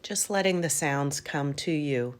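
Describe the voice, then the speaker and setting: Female meditation guide: low register, very slow delivery, calm and gentle, roomy pauses between phrases. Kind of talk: guided yoga nidra meditation.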